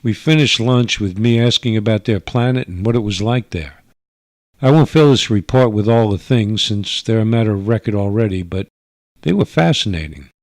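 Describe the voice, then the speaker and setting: A man's voice: fairly low pitch, expressive, normal speed, no room sound. Man narrating a dry-sounding radio drama. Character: Mister Taylor, government investigator narrating his report. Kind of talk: first-person radio drama narration, old-time style.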